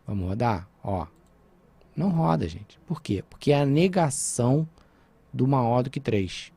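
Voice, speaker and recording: Brazilian guy teaching coding on a screen recording, mellow husky voice, keys typing in the background. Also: mid-range voice, animated, unhurried, no echo. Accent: Brazilian Portuguese. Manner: informal, checks in with "tá?" and "né?". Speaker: programming teacher.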